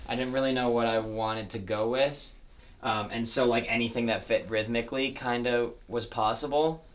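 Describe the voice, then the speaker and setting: A man's voice: medium pitch, normal speed. A young man in his twenties, a rapper and beat producer, chatting casually and rambling in a small home recording studio. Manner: relaxed, rambling, with fillers.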